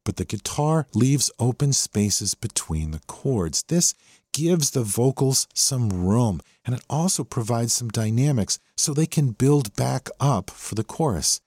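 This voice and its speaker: A man's voice: medium pitch, lively intonation, unhurried, a little mellow, husky, dry recording, clean studio sound. An American man hosting a music podcast, talking through a song with enthusiasm. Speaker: podcast host. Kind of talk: music appreciation podcast commentary.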